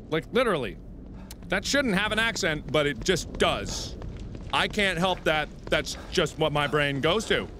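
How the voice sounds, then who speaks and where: A man hosting a let's play, commenting on gameplay with sudden loud outbursts and mumbled asides. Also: fairly high pitch, background noise. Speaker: gaming video host. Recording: game music behind the voice. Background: game music.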